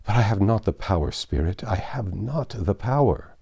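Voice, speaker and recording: A man's voice: on the low side, lively intonation, normal speed, mellow, breathy edge. A man voicing an agonized, pleading old man facing a spirit in a storybook reading.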